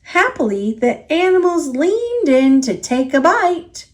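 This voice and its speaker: A woman reading a children's picture book aloud as a storyteller, expressive and deliberate, drawing out key words. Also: high-pitched. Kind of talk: children's story read-aloud, scripted narration.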